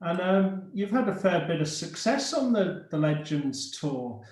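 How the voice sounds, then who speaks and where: A man's voice: sits high, sing-song, a little slow, a little mellow. A man hosting an interview over a video call, easygoing with frequent fillers. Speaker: host. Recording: roomy video call audio.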